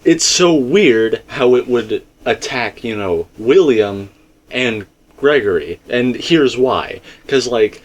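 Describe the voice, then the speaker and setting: A man's voice: medium pitch, animated, takes it slowly. A man in a casual theory discussion, explaining his points emphatically and circling back to them. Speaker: video game theorist.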